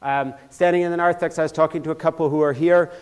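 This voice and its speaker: A man's voice: a little high, steady tone, fast, darkish tone, clear, resonant tone. An older man from Switzerland giving an impassioned talk in a church, growing heated and emphatic as he goes, often restarting his sentences. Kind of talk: impassioned lecture.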